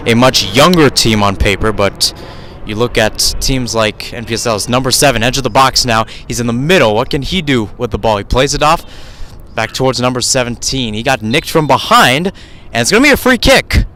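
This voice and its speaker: A man's voice: medium pitch, expressive, normal speed, sharp tone. Male soccer radio commentator, outdoor noise behind. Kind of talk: live radio sports commentary. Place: outdoors at youth soccer fields.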